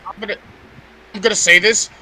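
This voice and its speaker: A man's voice: high voice, fairly even intonation, a little fast, pushed and bright. A man co-hosting a casual, opinionated chat, insistently restarting his phrases to make a point. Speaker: podcast co-host.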